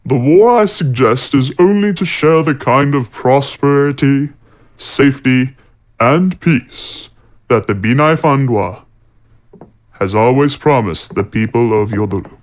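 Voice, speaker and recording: An actor playing a middle-aged warrior-monk villain in a fantasy audio drama, calm, persuasive and condescending as he preaches. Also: medium pitch, unhurried, natural voice. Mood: smooth, persuasive.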